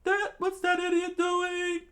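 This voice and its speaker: A man puts on a very high, squealing young crewmate from an adventure story. The voice is excitable and scolding, pushed far up into falsetto with exclamatory protest. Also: slow, heavily acted. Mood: exasperated. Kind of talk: acted character voices in a story retelling.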